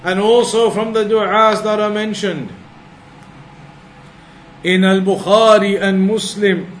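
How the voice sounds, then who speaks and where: High-voiced man teaching in an echoey room. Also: steady tone, slow. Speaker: religious teacher giving a class. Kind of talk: religious lesson, reciting Arabic then translating and explaining. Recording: echoey room with clear background noise.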